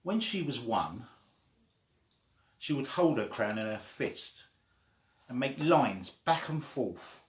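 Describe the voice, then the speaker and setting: A man reading a children's story aloud in a deliberate, simply phrased way. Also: mid-range voice, sing-song. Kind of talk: slow read-aloud storytelling. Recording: echoey room.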